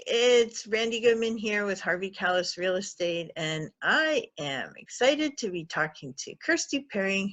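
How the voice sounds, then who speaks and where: A woman's voice: medium pitch, a bit bright. Cheerful woman host, chatty interview, keeps saying she loves it. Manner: upbeat, enthusiastic. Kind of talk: casual interview.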